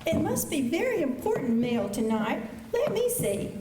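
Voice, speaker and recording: Woman playing a coy, high-voiced frontier flirt, echoey. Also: very animated, moderate pace. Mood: nosy. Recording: echoey auditorium with slight noise.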